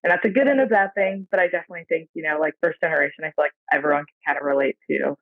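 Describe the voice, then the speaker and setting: A woman's voice: low-pitched, racing, no echo. An American woman from the San Francisco Bay Area, a podcast guest, talking in a reflective, rambling way with lots of fillers. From San Francisco Bay Area, USA. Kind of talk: casual interview chat. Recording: tinny phone-like dry line.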